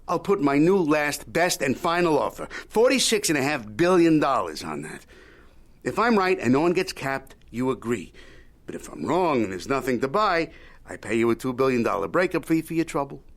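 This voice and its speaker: An actor playing a shrewd, folksy old media mogul in an audio drama, haggling in a wry, needling, Yiddish-peppered way. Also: male voice, mid-range voice, big pitch swings, moderate pace. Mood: coaxing, sly. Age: older.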